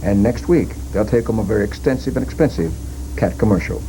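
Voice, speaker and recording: A man's voice: on the low side, brisk, mellow, slight background noise, poor audio. A man delivering a scripted local television news report as the reporter.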